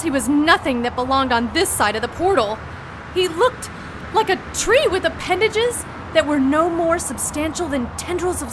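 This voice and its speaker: Haunted female narrator, dramatic first-person storytelling, high voice, noisy background. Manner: grave, dramatic. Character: haunted woman narrator fighting mind control. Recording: noisy crowd and outdoor din behind.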